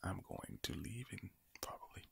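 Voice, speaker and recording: A man doing ASMR affirmations in a low, breathy, villain-like voice, speaking deliberately and soothingly with repeated words. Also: dry recording. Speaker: ASMR host. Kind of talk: ASMR, whispered slow affirmations.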